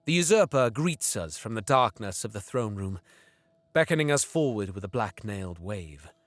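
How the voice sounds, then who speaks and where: An actor playing a bitter young alien prince, narrating an audio drama deliberately and sometimes dropping into hissed whispers. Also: male voice, lowish voice, animated, dry recording, natural voice. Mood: brooding.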